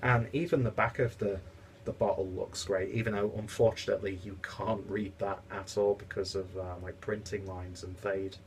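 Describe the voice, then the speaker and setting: A young man talks to his webcam from a home kitchen, his lowish voice stuffy and congested. He rambles on chattily with plenty of fillers. A faint hiss sits under the recording. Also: steady tone, normal speed. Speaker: beer reviewer. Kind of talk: casual vlog review.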